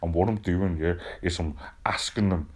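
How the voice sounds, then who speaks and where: A man's voice: sits low, moderate pace, a little mellow. A man playing a brash, sweary hard-man podcast host, blunt and peppering his talk with "yeah, right". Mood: boastful. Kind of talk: comic casual chat.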